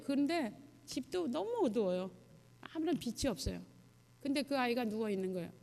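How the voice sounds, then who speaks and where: High-pitched woman preaching in a reverberant church. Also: darkish tone. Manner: conversational, halting, puts questions to listeners. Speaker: missionary guest preacher. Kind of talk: testimony sermon, mission report to a congregation. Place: church sanctuary, addressing a congregation. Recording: long hall reverb, church sound system.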